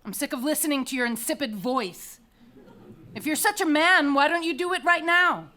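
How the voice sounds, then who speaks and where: A high-pitched young princess in Greek tragedy, proud and intense. She taunts authority with defiant conviction, delivered as a staged dramatic reading. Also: moderate pace, pushed and bright. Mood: taunting, angry. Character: defiant young princess condemned for burying her brother. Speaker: actor.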